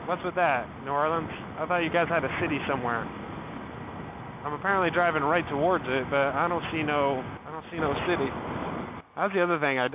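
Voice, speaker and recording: Guy with a bit of a southern drawl rambling outside, cussing, sounds like a phone with lots of noise. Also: mid-range voice. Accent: light Southern US drawl. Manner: rambling, curses casually. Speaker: motorcycle travel vlogger. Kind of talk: casual talk to camera. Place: outdoors near a levee. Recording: tinny phone-like sound with loud background noise.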